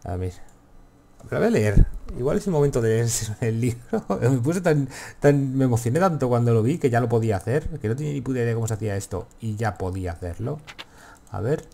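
A man's voice: medium pitch, lively intonation, fairly quick, creaky, warm tone. A man with a Castilian accent from Spain giving casual gameplay commentary, rambling to himself and swearing freely. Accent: Castilian Spanish from Spain. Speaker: gaming video host.

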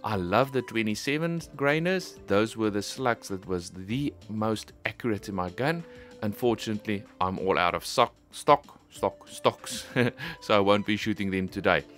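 A middle-aged man presenting a shooting vlog in a casual, expressive way, talking straight to his viewers. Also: on the low side, normal speed, soft background music. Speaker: host of an airgun shooting channel.

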